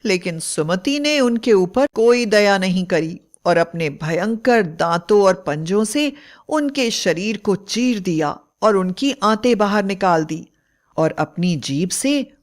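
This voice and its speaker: Woman reading a bedtime fairy tale, slow and measured, medium pitch. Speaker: storyteller. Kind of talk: scripted bedtime story narration.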